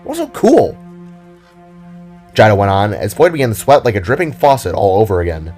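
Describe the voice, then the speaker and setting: A man's voice: lowish voice, sing-song, fast talker, a little mellow, soft background music. A man narrating a scripted audio drama in a dramatic storytelling style.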